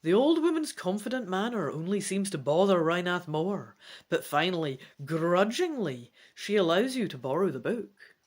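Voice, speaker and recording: A woman reading a fantasy gamebook aloud as its player, in an expressive, theatrical storytelling style. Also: lowish voice, normal speed. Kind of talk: dramatic storybook narration with casual asides.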